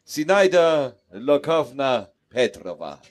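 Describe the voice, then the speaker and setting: A man's voice: medium pitch, very animated, slow pace. Man playing florid, genial Soviet ambassador. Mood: ceremonious. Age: middle-aged. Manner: formal, florid, speech-making. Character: genial, ceremonious Soviet ambassador hosting a New Year reception. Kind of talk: live radio play performance. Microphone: headset on an outdoor festival stage.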